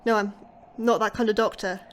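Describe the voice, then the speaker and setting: An actress playing an anxious, earnest astrobiologist in an audio drama, speaking in a polite, hesitant and questioning way. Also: female voice, medium pitch, steady tone, a little fast, fairly bright tone, a little hiss or hum, natural voice. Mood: nervous. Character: anxious, earnest woman astrobiologist, newly arrived. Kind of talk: audio fiction acting.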